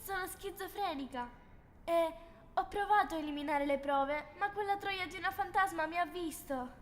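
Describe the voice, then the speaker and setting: Woman doing very high-pitched unhinged young girl villain. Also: normal speed, slight background noise. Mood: guilty, confessing. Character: Ginny Weasley parody, unhinged confessing girl villain. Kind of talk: amateur parody dub.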